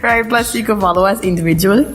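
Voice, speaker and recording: A woman's voice: medium pitch, quick pace, faint music behind. A woman in her twenties co-hosting a podcast in casual chat, with a dry, teasing way of talking. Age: 20s. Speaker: podcast co-host.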